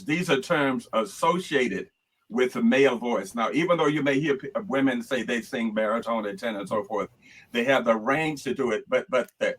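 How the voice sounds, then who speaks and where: An older man with a husky, slightly breathy voice talks in a relaxed, reflective way. He often repeats a word while he finds the next thought, like a conductor chatting about his life in music. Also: medium pitch, low-quality recording. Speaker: guest choral conductor. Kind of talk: casual chat.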